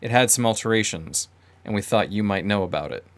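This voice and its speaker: Man voicing a big, measured, unflappable investigator. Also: lowish voice, expressive. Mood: steady. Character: huge, unflappable male investigator.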